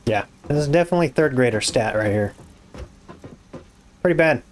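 A hoarse young man with a raspy voice, casually commenting on his game as he builds, muttering to himself and swearing when things go wrong. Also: medium pitch, expressive, moderate pace, a little hiss or hum. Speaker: gaming YouTuber. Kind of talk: casual let's-play commentary.

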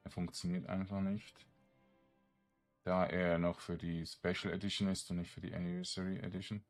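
A man giving casual game commentary, thinking aloud in a halting mutter as he plays. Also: deep voice, flat delivery, faint music behind. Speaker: gaming streamer.

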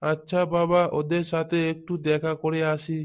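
Flat-toned mild old grandfather played by a man. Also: on the high side, normal speed, clear, resonant tone, natural voice. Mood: agreeable. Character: elderly village grandfather, mild and easily persuaded. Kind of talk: comic cartoon dialogue. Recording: music behind the voice.

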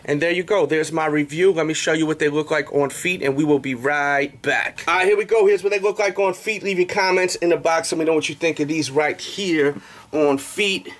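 Slangy, higher-pitched American male sneaker vlogger. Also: a little fast, a bit bright. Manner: slangy hype-man patter with repeated catchphrases. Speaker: sneaker review show host. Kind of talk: casual vlog product review. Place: small indoor room.

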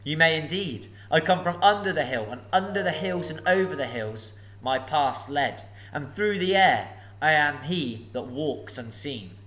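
A man voicing a small, clever male hobbit thief, courteous and flattering. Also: fairly high pitch, measured pace, few pauses, low-quality recording.

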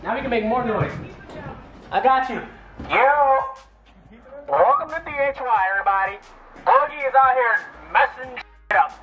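A man in his twenties bantering loudly and playfully in slang for a casual vlog. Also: very high-pitched, normal speed, pauses often, highs cut off. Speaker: vlogger pranking customers with a bullhorn. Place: motorcycle dealership showroom and its parking lot. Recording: loud music over the voice.

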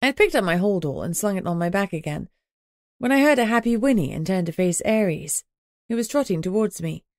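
A woman's voice: mid-range voice, expressive, fairly quick, dry recording, studio quality. A woman reading first-person fiction as an audiobook narrator in a scripted storytelling style.

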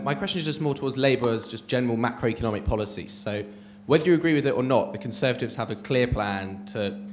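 A man's voice: on the low side, noisy background. Young man posing a pointed question. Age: young adult. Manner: pointed, challenging. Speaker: student asking a question. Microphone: handheld mic in the audience.

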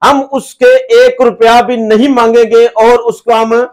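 A man's voice: very high-pitched, flat delivery, a little slow, darkish tone. A man in his thirties with a Pakistani accent, explaining things at length as an interview guest. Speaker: machinery supplier, interview guest. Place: small brick-walled workshop room indoors. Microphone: lapel.

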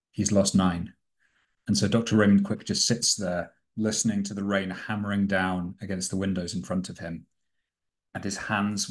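Man, low voice, deliberate theatrical narration, role-playing game storyteller. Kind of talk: in-character dramatic storytelling. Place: indoor room at home, video call.

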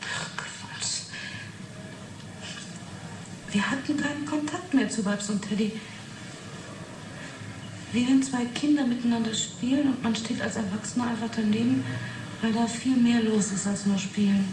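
An actress playing a matter-of-fact yet fascinated witness in a German radio play, halting and reflective. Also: female voice, a little high, fairly even intonation, close to an everyday voice. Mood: intent, fascinated. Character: young woman witness recounting an uncanny experiment, matter-of-fact yet fascinated. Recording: roomy 1980s radio play, noisy background.

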